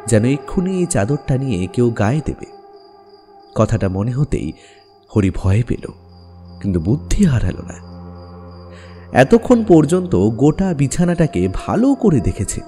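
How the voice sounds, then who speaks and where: Mellow, expressive man narrating horror. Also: medium pitch. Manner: suspenseful, dramatic pauses. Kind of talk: dramatic scripted horror story narration.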